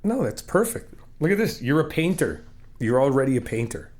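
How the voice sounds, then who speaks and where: A man doing an ASMR friend roleplay, talking to the listener in a playful, encouraging way, laughing often and explaining things calmly step by step. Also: medium pitch, moderate pace.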